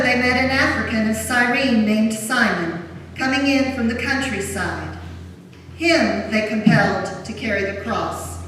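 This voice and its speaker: A woman's voice: fairly high pitch, a bit bright. A woman reading scripture as narrator in a solemn dramatic style in a church. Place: large church during a service. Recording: very echoey church, distant, faint noise.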